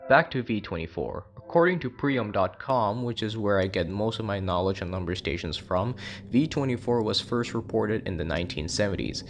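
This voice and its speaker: A man's voice: on the low side, lively intonation, normal speed. A man narrating an informal explainer video as its creator.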